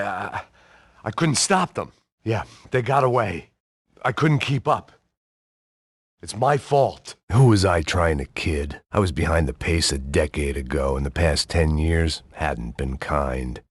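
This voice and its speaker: A world-weary middle-aged ex-cop who drinks hard and has gone to seed as a bodyguard. His voice is extremely low and recorded dry and close, in the manner of a noir video game. He sounds deadpan, sardonic and full of self-loathing. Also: breathy edge, dry recording, exaggerated voice. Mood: frantic, defeated, then bitter, resigned.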